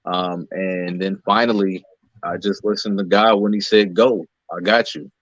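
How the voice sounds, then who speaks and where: A low-voiced American man answers questions casually as an interview guest. His recording is very dry, with little room sound, and slightly dull and muffled. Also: level tone, unhurried, no room sound. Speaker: interview guest, singer, actor and playwright.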